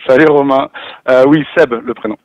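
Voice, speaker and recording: A man's voice: medium pitch, fairly even intonation, slight background noise. Male caller on a tinny phone line. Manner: hesitant, halting. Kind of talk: phone-in opinion.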